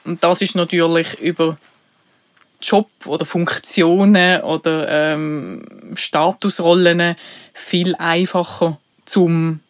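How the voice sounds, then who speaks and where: A woman's voice: on the low side, fairly even intonation, slow pace, no room sound. A woman from Switzerland with a Basel Swiss German accent, co-hosting a podcast in casual conversation, thoughtful and hesitant, laughing easily. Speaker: podcast co-host.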